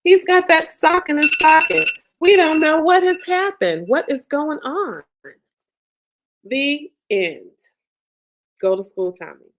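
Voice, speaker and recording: A middle-aged woman who writes children's books, reading her story aloud with lively pitch swings and then chatting casually, leaning on "you know.". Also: slow. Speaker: children's book author. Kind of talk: reading her picture book aloud, then interview chat. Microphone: video call.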